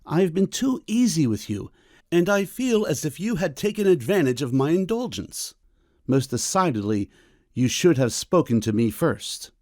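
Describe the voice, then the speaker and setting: A man playing a stern, middle-aged doctor and father in a period audiobook drama, with a measured, formal, coolly ironic manner. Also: a little high, sing-song, darkish tone, dry recording, clean studio sound. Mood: stern, disapproving. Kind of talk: full-cast audiobook period drama acting.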